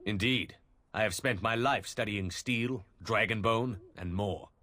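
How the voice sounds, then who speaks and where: An actor playing a proud, stern, hardy weaponsmith in a fantasy video game. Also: male voice, on the low side, measured pace, sharp tone.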